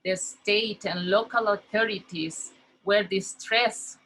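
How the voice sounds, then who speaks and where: A middle-aged woman with a Spanish accent, a native Spanish speaker, giving a heartfelt welcome speech at a conference over a video call, slow and earnest with frequent pauses and fillers. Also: medium pitch, fairly even intonation, fairly bright tone, a little hiss or hum, rough recording. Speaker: conference speaker, community health worker.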